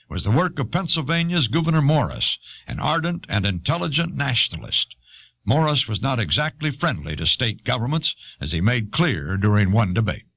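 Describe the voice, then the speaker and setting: Male narrator for educational history audio; bright, highly inflected, measured delivery, dull cassette sound. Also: dry recording.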